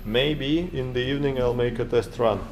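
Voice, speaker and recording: A man in his thirties with a Russian accent, talking through his workshop in halting English full of fillers and restarts, recorded on an action camera indoors. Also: mid-range voice, slight background noise. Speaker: maker vlogger. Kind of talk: casual workshop vlog. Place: home workshop room, indoors. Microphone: action camera held or mounted close.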